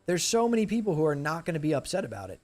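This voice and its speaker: A man's voice: on the high side, very animated, very fast, faint music behind. A man in young adulthood, chatting casually with his live stream viewers as he loses his voice. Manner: laid-back, chatty, talks to chat. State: losing his voice.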